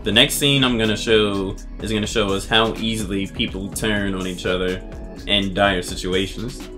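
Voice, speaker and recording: A man's voice: lowish voice, fairly even intonation, normal speed. A man giving casual, analytical commentary as a video essayist. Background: music.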